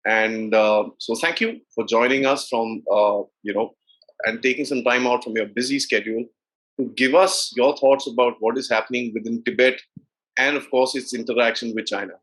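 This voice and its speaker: A man, hosting an interview and introducing his guests in a measured, courteous way. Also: mid-range voice, fairly even intonation, fairly bright tone, poor audio. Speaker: host.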